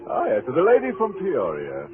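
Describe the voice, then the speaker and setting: An actor playing a gleefully macabre horror host on 1940s radio, theatrical and sardonic in a mock-friendly way. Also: male voice, on the high side, huge pitch swings, fairly quick. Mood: teasing, macabre. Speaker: radio show host and narrator. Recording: phone-like vintage radio recording, music behind.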